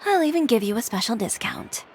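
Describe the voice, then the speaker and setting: A woman's voice: a little high, big pitch swings, brisk, noisy background. A woman voicing a confident, businesslike boutique owner with a composed, matter-of-fact way of talking. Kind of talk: video game voice acting. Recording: game audio with music behind. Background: game music.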